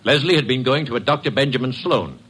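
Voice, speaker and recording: Agitated, husky-voiced widower. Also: male voice, mid-range voice, lively intonation, quick pace, fairly bright tone, low-quality recording. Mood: troubled. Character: distraught, suspicious widowed husband seeking justice. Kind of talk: classic radio drama acting.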